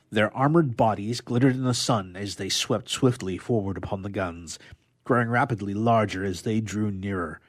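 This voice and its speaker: Male narrator for a literary excerpt, lower register, rough-grained tone, measured and expressive delivery. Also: dry recording, studio quality. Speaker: radio player reading a literary excerpt. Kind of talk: dramatic audiobook-style reading of a novel excerpt.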